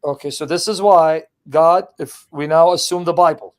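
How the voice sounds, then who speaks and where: A man's voice: fairly high pitch, fairly even intonation, moderate pace. A man hosting a debate, brash and mocking, firing questions at a caller. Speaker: host. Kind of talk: combative debate talk.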